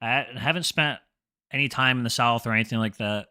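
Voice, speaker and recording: A man's voice: medium pitch, a little fast, sharp tone. A man from New England with a Boston accent, chatting casually with viewers while streaming a game, dropping his R's and filling his talk with "like". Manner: rambling, filler-heavy, drops his R's. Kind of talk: casual gaming livestream chat.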